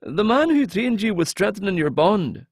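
A man's voice: high-pitched, sing-song, moderate pace, husky, no room sound, studio quality, voice pushed up, heavily acted. A man voicing a frightened, remorseful male deserter in an audiobook, earnest and pleading. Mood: remorseful, grave.

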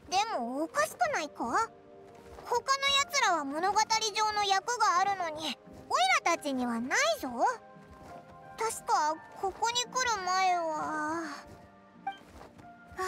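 A woman voicing a tiny, excitable, bossy, childlike fairy companion in an anime video game, blunt and cheeky in manner. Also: very high voice.

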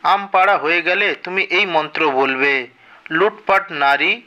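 A man's voice: a little high, a little slow, sharp tone, lo-fi sound. A man voicing a kindly female water fairy in a cartoon fairy tale, reassuring and instructive.

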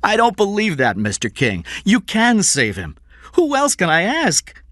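A man's voice: high voice, huge pitch swings, measured pace, fairly bright tone, no room sound, studio quality, voice pushed up, exaggerated voice. A man voicing a humble, defeated employee and father who pleads desperately in an audiobook. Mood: desperate pleading. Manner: pleading, begging. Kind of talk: audiobook character acting.

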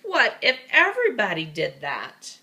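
A woman's voice: medium pitch, wildly swooping pitch, measured pace, bright, forceful tone, exaggerated voice. A woman voicing stern grown-ups who emphatically scold a naughty child in a storybook.

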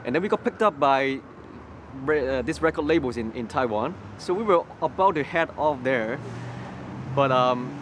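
A man's voice: medium pitch, expressive, normal speed, fairly bright tone. A young man from Hong Kong, a Cantonese native speaker, telling his story in an interview on a city street, with many restarts and repeated words. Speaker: interview guest. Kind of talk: personal testimony interview. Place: outdoors on a city street by a graffiti wall. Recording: street noise in the background. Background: city traffic noise.

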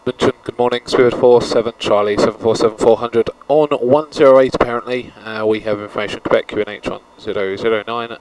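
A man's voice: mid-range voice, fast talker, few pauses, clear, resonant tone, poor audio. A young British man flying a home cockpit simulator, chatting casually and reading out flight figures on a headset. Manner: relaxed, chatty, reads out figures. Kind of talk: casual cockpit chat and radio calls.

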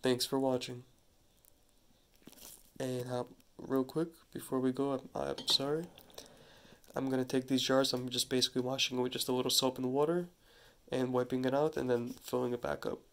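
A man with a stuffy head cold casually demonstrating a gardening task at a kitchen sink, talking in a relaxed, halting way with many restarts. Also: mid-range voice. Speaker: hydroponics gardening channel host. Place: home kitchen at a running sink. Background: running tap water.

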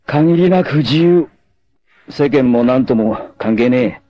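An actor playing a carefree, roguish young martial artist and womanizer in a dramatic anime, with a cocky drawl and rough masculine speech. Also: medium pitch, lively intonation, slow pace. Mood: aloof, proud. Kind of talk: dramatic 1980s anime voice acting. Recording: dull lo-fi old TV audio.

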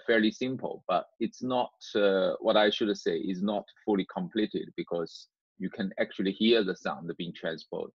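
A man giving an unscripted online tutorial as a university lecturer, explaining in a halting, hesitant way over a video call. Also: deep voice, slightly rough. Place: indoors, online class via screen share. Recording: dull, roomy video-call audio.

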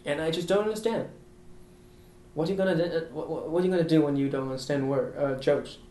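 Young man talking in an echoey room. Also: fast. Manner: thoughtful, gestures, laughs softly. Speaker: international student. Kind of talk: casual interview. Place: college room by windows. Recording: echoey room with faint rumble.

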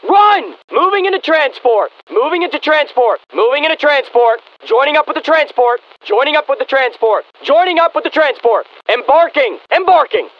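A man's voice: very high voice, animated, normal speed, few pauses, dull audio. A man voicing an urgent, disciplined American infantry soldier in a video game, clipped and commanding over a radio filter. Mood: brisk, urgent. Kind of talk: military video game unit barks.

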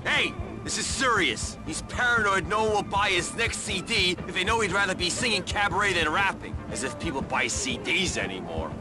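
A flamboyant middle-aged club owner, irritable and dismissive with everyone. His voice is extremely high for a man and swoops theatrically. Music plays underneath. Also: very animated, normal speed, hardly any pauses. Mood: brisk, annoyed.

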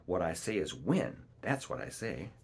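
A rough, husky pirate coxswain played by a male narrator, growling and impatient. His pitch moves a lot as he grumbles in rustic sailor talk, a storybook mutineer. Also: big pitch swings, normal speed, heavily acted. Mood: grudging. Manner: grumbling, rustic seafaring speech.

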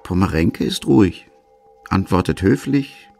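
A male narrator delivers a scripted true-crime documentary in a low voice. There is a pronounced creak in it, and quiet music runs underneath. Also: lively intonation, a little slow. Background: faint music.

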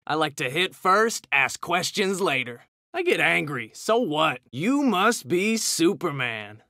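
Bright, cocky New turtle brawler. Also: male voice, fairly high pitch, sing-song, slow pace, dry recording, clean studio sound, exaggerated voice. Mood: brash, combative. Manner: brash, slangy trash talk. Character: cocky hot-tempered young male mutant ninja turtle brawler. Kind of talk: video game fighter taunts.

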